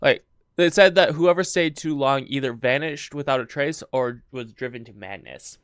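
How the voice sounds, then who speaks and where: A woman's voice: a bass voice, moderate pace, clean tone, no echo, clean studio sound. A woman hosting a true crime podcast, telling the story in a casual chat, giggling often and stumbling over her words.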